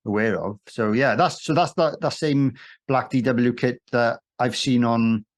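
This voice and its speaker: A man's voice: medium pitch, unhurried. A man hosting a podcast, asking friendly, meandering questions with hesitant restarts. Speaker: podcast host. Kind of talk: casual interview chat.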